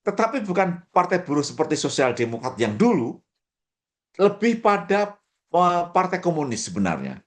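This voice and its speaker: A man explaining politics in a lecturing way, pausing often with fillers and restarting his sentences. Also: high voice, sing-song. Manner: lecturing, many hesitations and restarts. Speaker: commentator.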